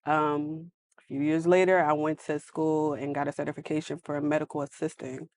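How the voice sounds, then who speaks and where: A woman, a guest answering interview questions softly and a little hesitantly, with frequent ums. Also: low-pitched, little pitch movement, a little fast. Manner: soft-spoken, hesitant with ums. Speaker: guest, church community outreach director.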